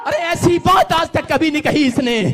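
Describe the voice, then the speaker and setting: A man preaching from a stage at a night prayer meeting, his voice unusually high for a man. He swings between loud, dramatic shouts and hushed, low passages as he pleads with the crowd in front of him. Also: moderate pace, faint music behind. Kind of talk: impassioned healing sermon.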